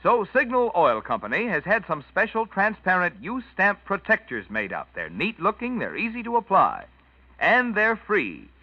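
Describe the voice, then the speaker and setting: A man announcing a scripted 1940s radio commercial in a folksy sales-pitch manner. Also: wildly swooping pitch, normal speed, no echo. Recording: dull dry vintage radio recording.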